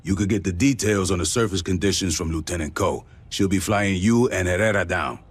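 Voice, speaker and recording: Low, husky, jaded marine officer. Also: male voice, fairly quick. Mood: sardonic. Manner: sardonic, profane, plain-spoken. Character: jaded, blunt male marine officer giving briefing. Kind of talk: game voice acting, mission briefing.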